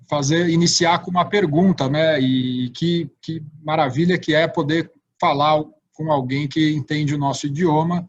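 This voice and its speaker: A man with a Brazilian Portuguese accent who hosts a travel talk show and interviews a guest, often ending his points with "né?". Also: fairly high pitch. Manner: warm-up tags "né?" after statements. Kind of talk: hosting an interview. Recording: dull lo-fi call audio.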